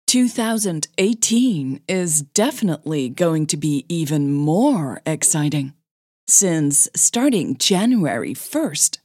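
Husky woman podcast host telling stories, slow n mellow, bit gravelly. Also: lowish voice, no echo, studio quality. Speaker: podcast host and audio fiction narrator. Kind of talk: podcast announcements and story narration.

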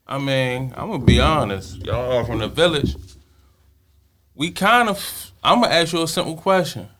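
A man with a mid-range voice joins a casual interview. He speaks deliberately and asks straight, direct questions. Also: medium pitch, animated, background noise.

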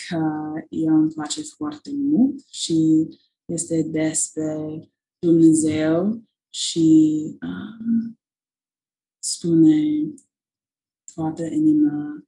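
A woman's voice: sits low, warm tone, echoey room, rough recording. A young woman, a missionary, answering interview questions earnestly and thoughtfully, with frequent ums. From United States.

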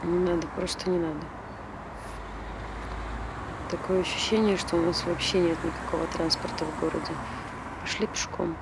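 A woman's voice: lowish voice, fairly even intonation, fairly quick, background noise, close to an everyday voice. An actress playing a grieving, distracted woman who insists all is fine, in short repetitive phrases, in naturalistic stage drama. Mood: numb grief. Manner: clipped short phrases, repeats herself.

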